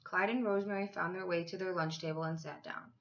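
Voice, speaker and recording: A woman's voice: lowish voice, steady tone, fast talker, lo-fi sound. A woman reading a children's storybook aloud expressively, like a teacher to her class. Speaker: school teacher reading to third graders.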